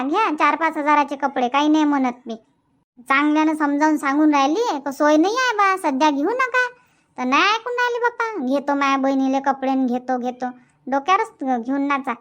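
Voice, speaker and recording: A sarcastic, grumbling village wife played by a woman in a cartoon comedy. Her voice is extremely high, almost childlike, with a Varhadi Marathi accent. She rambles on in resentful, thrifty complaint. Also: moderate pace, a bit bright. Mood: sarcastic, huffy. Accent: Varhadi Marathi from Vidarbha. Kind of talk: dubbed cartoon comedy dialogue.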